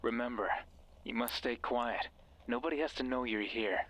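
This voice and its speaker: A man playing a mysterious, controlling horror game guide with a measured, ominous and coaxing delivery. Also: medium pitch, a bit bright, dry recording, lightly acted, rough recording. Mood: hushed warning. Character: mysterious controlling male radio voice guiding a listener, sinister. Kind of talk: horror visual novel voice acting.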